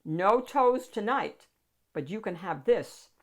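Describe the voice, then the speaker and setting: A woman's voice: medium pitch, lively intonation, slow. A woman voicing a picky, outspoken child at bedtime in a picture-book story. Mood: playful. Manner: outspoken, giggly, particular. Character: picky child who wants a scary monster at bedtime.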